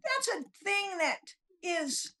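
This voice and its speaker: Female guest, extremely high pitch, casual conversational delivery, self-deprecating humor. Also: big pitch swings, slow, pushed and bright. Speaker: guest novelist. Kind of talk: casual chat.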